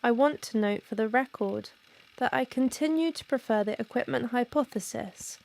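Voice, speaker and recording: A woman acting as a precise, rational researcher with a soft, mellow, fairly high voice. She gives formal, restrained testimony in the manner of found-audio horror. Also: normal speed, gravelly. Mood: controlled, uneasy. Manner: formal, careful, clinical, restrained.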